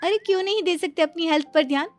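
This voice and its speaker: A woman's voice: extremely high pitch, quick pace, music in the background. A woman playing a chatty, boastful young daughter-in-law in a moral story cartoon, speaking with emphatic self-assurance. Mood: breezy, smug. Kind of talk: animated Hindi moral story voice acting.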